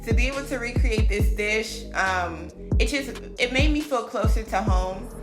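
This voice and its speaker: A woman's voice: on the high side, normal speed. A woman, a cooking vlogger narrating her video in a chatty, upbeat way with frequent fillers and laughs. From United States. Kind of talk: casual vlog narration. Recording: roomy echo with background music.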